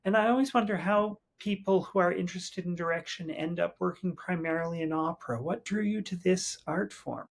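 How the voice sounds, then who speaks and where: A man hosts an interview podcast in a somewhat high voice with a gravelly, husky texture. He reads his opening in a measured, friendly way, then asks his questions with small restarts. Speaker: podcast host. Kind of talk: scripted introduction and interview questions.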